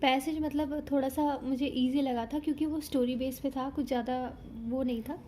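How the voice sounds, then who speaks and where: A young woman from India casually talking through her exam, a little hoarse from a sore throat, chatty and explanatory with frequent self-corrections. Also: high voice, fairly even intonation, normal speed, dark tone, a little hiss or hum. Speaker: exam candidate reviewing her paper. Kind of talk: casual vlog talk.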